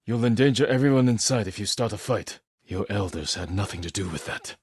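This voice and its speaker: Low, husky stoic samurai. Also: male voice, darkish tone. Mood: calm, resolute. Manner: measured, solemn, clipped short sentences. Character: honourable, stoic samurai warrior, grimly resolute leader. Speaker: video game lead character. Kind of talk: dramatic video game voice acting.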